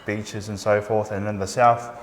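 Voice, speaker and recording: A man's voice: lowish voice, moderate pace, a little hiss or hum. New Zealand man, echoey room. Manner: relaxed, friendly, laughs often, searches for words. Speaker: English teacher, guest. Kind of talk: casual radio interview.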